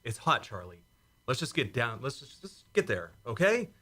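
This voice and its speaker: A man's voice: mid-range voice, very animated, moderate pace, bright, forceful tone. A man playing a proud, stubborn space commander in a science fiction radio play, with an exasperated, emphatic delivery. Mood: irritated, defensive. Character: proud, stubborn male space commander, prickly with an old flame.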